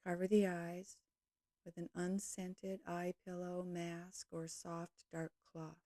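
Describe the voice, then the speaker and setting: Low, mellow woman guiding meditation. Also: no echo, clean studio sound. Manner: calm, measured, gentle delivery with short phrases. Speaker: yoga nidra teacher guiding a meditation. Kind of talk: guided meditation, slow instructional narration.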